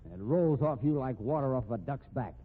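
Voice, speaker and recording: An actor with an American accent playing a gruff, irritable middle-aged police captain who grumbles bluntly and sardonically in a 1950s radio drama. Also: male voice, medium pitch, expressive, brisk, phone-quality sound. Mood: weary, sardonic. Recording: thin, noisy 1950s radio recording.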